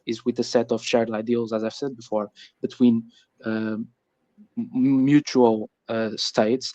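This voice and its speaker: A man's voice: medium pitch, a little mellow. A man from Portugal with a Portuguese accent, speaking in a casual discussion and often repeating words as he searches for phrasing. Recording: muffled, echoey voice-chat audio.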